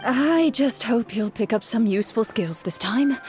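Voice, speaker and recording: A woman's voice: a little high, animated, normal speed, lightly acted. A woman voicing a composed, earnest young woman in a video game, formal and matter-of-fact. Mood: calm, assured. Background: soft game music.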